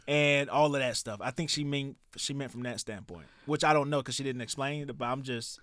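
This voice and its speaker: A man's voice: medium pitch, fairly quick, fairly bright tone, dry recording, studio quality. A man hosting a casual podcast chat, reading out listener responses and laughing often. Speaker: podcast host.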